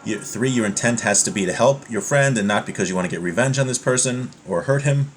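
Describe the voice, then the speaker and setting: A man giving an informal religious lesson, explaining step by step in a halting way and rephrasing his points as he goes. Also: medium pitch, slight background noise. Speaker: religious teacher giving a class.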